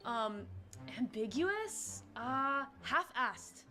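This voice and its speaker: A woman with a fairly high voice chats playfully during a game. She moves easily between English and Japanese while music plays clearly behind her. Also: sing-song, drawn-out words, bright, forceful tone. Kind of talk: playful bilingual game chat.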